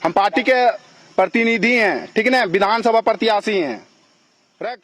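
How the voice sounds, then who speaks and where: Very high-pitched man arguing on a phone recording. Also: normal speed, fairly bright tone. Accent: Jharkhand, India. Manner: confrontational, challenging, repetitive. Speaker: local party representative. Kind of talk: heated phone argument. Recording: recorded phone call, crowd chatter behind.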